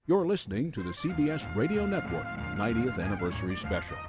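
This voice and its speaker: A man's voice: medium pitch, very animated, fast talker, loud music behind the voice. A man hosting a radio special with scripted narration.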